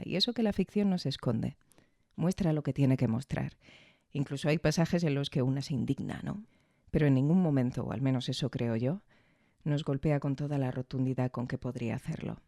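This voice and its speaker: A woman's voice: deep voice, dark tone, dry recording, studio quality. A woman reading a reflective, scripted review as podcast host. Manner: reflective, measured.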